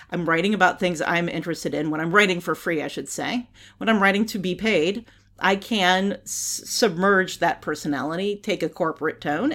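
Older american lady podcasting solo, lowish voice, chatty, lots of um and uh, little laughs. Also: a bit bright. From United States. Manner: chatty, many fillers and restarts, wry laughs. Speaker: podcast host. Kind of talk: casual solo commentary.

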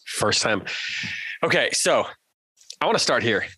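A man's voice: medium pitch, big pitch swings, measured pace, bright, forceful tone, slightly rough, no room sound. A man co-hosting a casual book discussion podcast, asking his co-host playful, teasing questions. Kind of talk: casual book-club chat.

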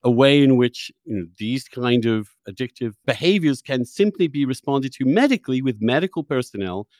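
A man with a medium-pitched voice, recorded dry and close, hosts a debate-style interview. He challenges the other side and presses his questions. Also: animated, moderate pace, a little mellow, no room sound. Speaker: interviewer, podcast host.

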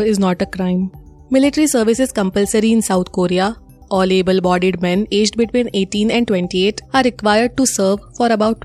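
A woman's voice: mid-range voice, a little mellow. A woman with an Indian accent presents a scripted news bulletin.

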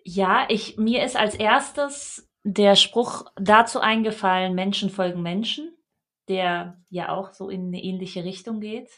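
A woman is speaking as the co-host of a podcast. Her voice sits at an average pitch for a woman. She discusses things in a casual, conversational style. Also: unhurried, a bit bright.

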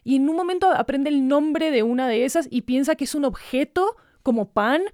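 Animated high-voiced woman in casual discussion. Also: normal speed, no echo, studio quality. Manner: animated, laughs often, acts out quotes.

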